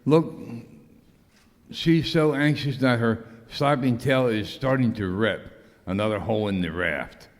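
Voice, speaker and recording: A man's voice: mid-range voice, a little slow, lightly acted. A man playing a fussy, protective castaway in an amateur radio comedy skit. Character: fussy, protective male castaway devoted to pet. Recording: echoey hall.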